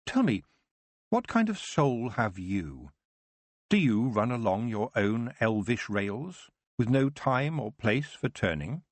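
A man voicing a young witch who speaks firmly and in a measured, commanding way. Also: medium pitch, big pitch swings, no room sound, exaggerated voice. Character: young witch girl Tiffany, firm and sharp-minded.

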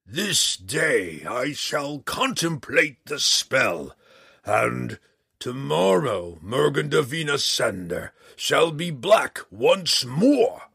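A man voicing a huge, proud male red dragon who speaks in a slow, booming, menacing way in a storybook reading. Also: big pitch swings, creaky, fairly bright tone, breathy edge, no echo, studio quality, heavily acted. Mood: roaring.

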